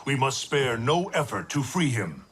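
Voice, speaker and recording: Solemn, commanding thunder god. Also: male voice, medium pitch, sing-song, a bit bright, breathy edge, full character voice. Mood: grave, resolute. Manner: grave, formal, measured. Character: solemn, wise thunder god and protector leading allies.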